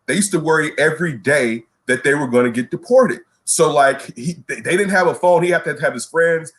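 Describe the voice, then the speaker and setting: A man co-hosting a casual sports talk show, ranting in an animated way and repeating words when he gets worked up. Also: a little high. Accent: Chicago. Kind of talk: casual radio show rant.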